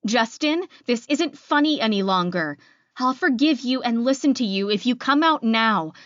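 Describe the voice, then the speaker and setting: A frightened young woman acted by a woman narrator speaks in an anxious, pleading way while trying to sound brave in an audiobook. Her voice is pitched up, in a dry and slightly muffled recording. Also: expressive, normal speed, a bit bright, dry recording. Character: scared young woman putting on brave front.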